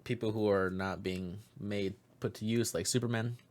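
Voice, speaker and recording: Guy with a low voice cohosting a podcast, casual, doesnt say much, short little comments. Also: fairly even intonation, moderate pace, darkish tone. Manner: laconic, brief interjections. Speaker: podcast co-host. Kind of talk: casual chat.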